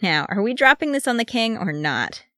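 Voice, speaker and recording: A woman's voice: on the high side, very animated, moderate pace, a bit bright, dry recording, clean studio sound, pitched above the performer's own voice. A woman voicing a bossy, scornful young dwarf boy in a fantasy story, speaking in a snappy, taunting way. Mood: cocky.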